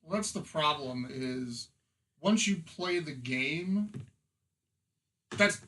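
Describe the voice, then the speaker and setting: A man's voice: a little high, lively intonation, slow, a bit bright. A man chatting informally as a podcast panelist, giving his opinions in a casual, conversational way. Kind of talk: casual opinionated film and game chat.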